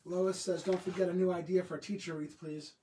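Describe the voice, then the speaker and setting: Brief: middle-aged man reading comments, quick delivery, higher-pitched voice. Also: little pitch movement, warm tone, distant mic, slight background noise. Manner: brisk, reads out comments in short bursts. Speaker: co-host reading viewer comments. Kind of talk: casual live-stream chat.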